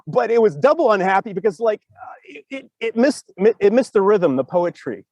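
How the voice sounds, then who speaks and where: A man with a somewhat high voice talks as a podcast host. He explains things casually in a rambling, animated way, voicing reactions as he goes. The sound is very dry, with no room to it. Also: moderate pace, no room sound, highs cut off. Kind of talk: casual discussion of storytelling. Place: remote video call.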